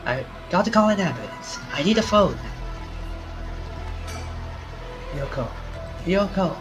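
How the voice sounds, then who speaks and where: A man reading aloud as a frightened young man who stumbles over his words in panic. The voice sits a little high, and game music plays clearly beneath it. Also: expressive, moderate pace. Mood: panicked. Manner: stammering, panicky, then quietly resolved. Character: frightened young man, friend has collapsed. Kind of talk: reading a visual novel aloud.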